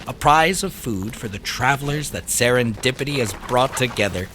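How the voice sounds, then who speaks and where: A man's voice: mid-range voice, animated, moderate pace, background noise, close to an everyday voice. A man playing a genial, bookish hiker with a wordy, wry manner in an audio drama.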